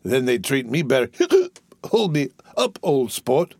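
A man's voice: medium pitch, huge pitch swings, slow pace, darkish tone, husky, no echo, clean studio sound, heavily acted. A man voicing a drunk, merry rich young man of about eighteen, with slurred, laughing speech. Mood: tipsy.

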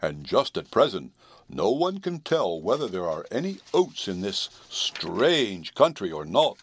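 A man's voice: on the low side, sing-song, unhurried. A man voicing a weary, scornful old male horse in a storybook reading, grumbling as he talks.

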